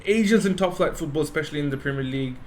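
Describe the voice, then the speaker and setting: A man from Bangladesh interviewing a guest casually, often saying "yeah" and pausing on "um". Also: fairly high pitch, a little hiss or hum. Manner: frequent "yeah" backchannels, hesitant with fillers. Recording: faint music behind.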